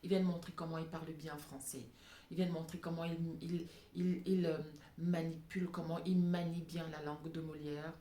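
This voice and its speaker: A woman's voice: low-pitched, level tone, normal speed, mellow. A woman giving an impassioned, off-the-cuff opinion monologue, emphatic and repeating phrases for stress. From francophone Africa. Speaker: vlogger addressing African women. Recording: echoey room with background noise.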